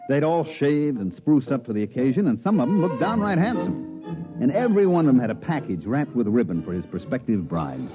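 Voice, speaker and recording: A man's voice: mid-range voice, animated, fairly quick, over music, close to an everyday voice. Man acting a wry huckster, dull old radio sound. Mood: wry narrating. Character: slick, wry, resigned middle-aged huckster and narrator. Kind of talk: 1950s radio drama acting. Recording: dull vintage 1950s radio recording.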